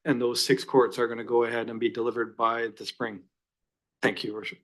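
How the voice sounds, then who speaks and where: A man's voice: mid-range voice, level tone, a little fast, fairly bright tone. A man city councillor who answers a question hesitantly, with many fillers.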